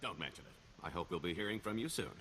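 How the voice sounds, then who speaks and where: A silver-tongued video game swindler with a low male voice that rises and dips with great expression. He is self-assured and wry, always working a sales pitch. A light layer of noise sits behind him. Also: expressive, fast talker, sharp tone, exaggerated voice. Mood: glib, ingratiating. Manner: wheedling salesman patter, wry. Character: smooth-talking male con-artist schemer, sly and self-assured. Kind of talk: video game dialogue acting.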